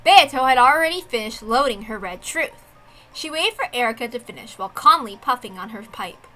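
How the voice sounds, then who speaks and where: A woman's voice: fairly high pitch, lively intonation, a little fast, sharp tone, soft background music. A woman reading a visual novel aloud as a dramatic narrator.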